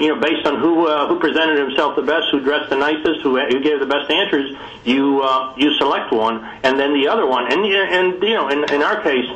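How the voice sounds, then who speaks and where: Deliberate man on an echoey conference call. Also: mid-range voice, fairly bright tone, lo-fi sound. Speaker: committee call participant. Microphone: telephone.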